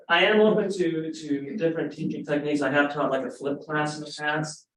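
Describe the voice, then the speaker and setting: A man's voice: fairly high pitch, steady tone, brisk, a bit bright. A man, a longtime chemistry professor, introducing himself candidly and modestly. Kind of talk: casual self-introduction. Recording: echoey room from far off, faint background noise.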